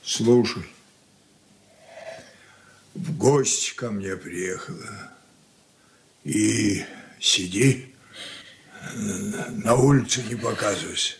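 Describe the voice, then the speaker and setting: A man's voice: lowish voice, drawn-out words, long pauses. An actor playing a stern, heartbroken old merchant father in a Soviet radio drama. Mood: grieved, stern.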